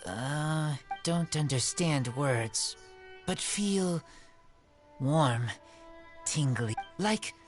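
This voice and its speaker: A man voicing a shy, wolf-raised boy in a video game, speaking haltingly in broken sentences. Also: on the high side, expressive, faint music behind. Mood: hesitant.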